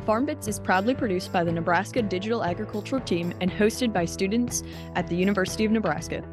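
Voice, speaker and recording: A woman co-hosting a student podcast, reading the scripted opening in a clear, rehearsed way. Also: medium pitch, quick pace. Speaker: co-host, student. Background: guitar music.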